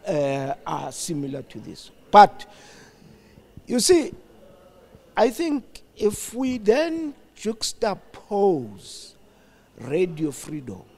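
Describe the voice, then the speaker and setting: An older South African man giving reflective interview answers in a deliberate, emphatic, explanatory manner. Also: sits high, wildly swooping pitch, a little mellow. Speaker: studio guest, former radio broadcaster.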